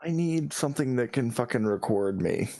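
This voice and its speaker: A man chats bluntly on a podcast, cracking jokes and swearing often. His voice sits at an ordinary middle pitch. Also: lively intonation, normal speed, vocal fry, over a noisy crowd. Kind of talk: casual chat.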